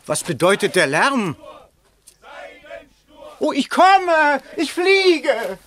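A man's voice: very high voice, huge pitch swings, drawn-out words, crowd noise, full character voice. A man playing a stingy, harried political candidate in a German radio play, curt and grumbling. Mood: flustered. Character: stingy, harried male candidate haggling with backers.

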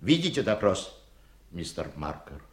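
A man's voice: sits low, very animated, a little slow. A man acting a formal, authoritative judge presiding over a trial in a radio play. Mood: official. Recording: echoey room, distant.

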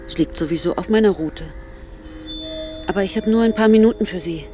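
A woman's voice: mid-range voice, a little fast, music in the background, natural voice. A woman playing a brusque, busy forest ranger in a radio drama, curt and matter-of-fact. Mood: brisk, guarded. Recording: noisy outdoor scene with cawing crows. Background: crows and outdoor ambience.

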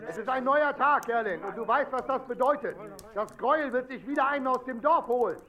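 Shrill, insistent village goatherd. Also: male voice, a little fast, sharp tone. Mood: urgent, menacing. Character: pushy male village goatherd posing as headman. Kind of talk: radio play acting.

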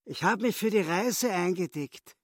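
An actor playing a boastful little hustler in a radio play, self-important and excitable, with a Viennese accent. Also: male voice, high-pitched, unhurried, no echo, clean studio sound. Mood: smug. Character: cocky little hustler, boastful would-be world champion.

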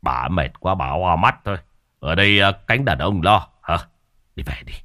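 A man voicing an older village husband who speaks in a gruff, dismissive, matter-of-fact way. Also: sits low, expressive, normal speed, bright, forceful tone, no room sound, studio quality. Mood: gruff skeptical.

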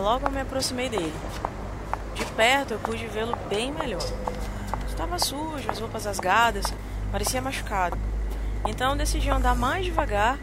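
A woman's voice: fairly high pitch, lightly acted. An actress playing a tired, fearful woman, narrating a horror audio drama in an apprehensive, hesitant, dramatic manner. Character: tired, fearful woman recounting a nighttime attack. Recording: noisy urban street ambience with traffic.

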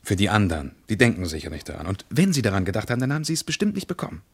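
Low, dry-sounding man in a radio play. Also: sing-song, very fast, slightly rough, dry recording, full character voice. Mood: brisk, mildly impatient. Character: practical, reflective male traveller, slightly impatient, uneasy. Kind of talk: radio play acting, dialogue and diary monologue.

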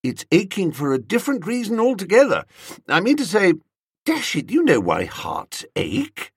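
A man playing an earnest, foppish young upper-class British gentleman in a comic audiobook, slangy, emphatic and hesitant. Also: sits high, huge pitch swings, moderate pace, slightly rough, dry recording, clean studio sound, pitched above the performer's own voice, full character voice. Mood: exasperated, insistent.

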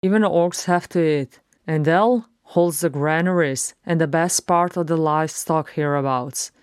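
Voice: mid-range voice, a little slow, clear, resonant tone, no room sound, studio quality, natural voice. A narrator voicing an irreverent male dwarf warrior in a storybook, talking in a breezy, sardonic, colloquial way. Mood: cocky.

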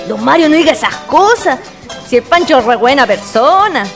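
Lady acting as young chilean girlfriend, worried n scolding, really high swoopy voice, radio play. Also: lively intonation, moderate pace, faint music behind. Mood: concerned.

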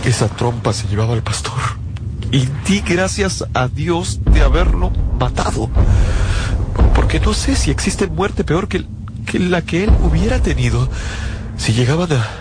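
An actor playing a haunted, desperate survivor of an invasion, telling his story in halting, anguished phrases in a radio drama. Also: fairly high pitch, expressive. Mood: agitated, horrified. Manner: halting, repeating words, anguished. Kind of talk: dramatic first-person radio drama narration. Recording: loud music under the voice.

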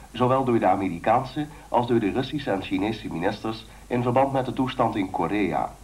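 A man acting a formal radio newsreader in a Dutch-language radio play, reading bulletins in a measured way. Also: lowish voice, darkish tone, natural voice, low-quality recording.

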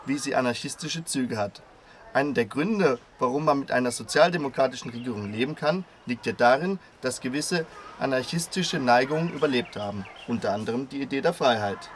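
A man playing an earnest, intellectual anarchist father who argues in measured, formal phrases in a scripted radio play. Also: medium pitch, expressive, natural voice. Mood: reflective, measured. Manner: measured, argumentative, formal wording. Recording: clear background noise and ambience.

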